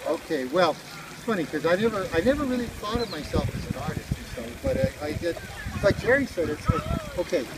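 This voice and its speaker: Rambling middle-aged man, loud background noise. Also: high voice, wildly swooping pitch, a little fast, creaky. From Hawaii. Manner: rambling, philosophical. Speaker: street musician being interviewed. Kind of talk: casual reflective chat. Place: outdoors by a beach park. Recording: loud outdoor background noise with vehicle sounds.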